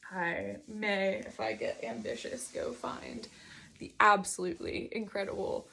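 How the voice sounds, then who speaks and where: A woman's voice: medium pitch, a little slow, vocal fry, pushed and bright, slight background noise, rough recording. A woman giving a reflective solo monologue, hesitant and digressive as she thinks aloud about her writing. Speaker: host reading and discussing own writing.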